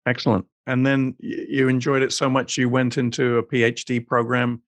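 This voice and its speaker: A man hosts a relaxed interview, asking friendly, chatty questions that often restart on a repeated word. His voice is mid-pitched and recorded close in a dry room. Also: moderate pace, no echo. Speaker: podcast host.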